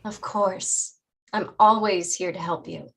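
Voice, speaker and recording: A woman playing a patient, caring mother in a staged drama reading, deliberate and instructive in her way of explaining. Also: on the low side, steady tone, vocal fry, fairly bright tone, exaggerated voice. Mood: patient, reassuring.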